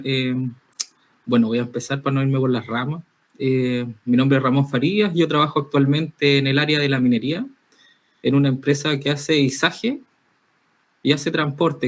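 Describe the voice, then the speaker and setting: A young man from Spain, a guest talking candidly and conversationally about his work as a safety professional. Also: medium pitch. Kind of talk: casual interview talk.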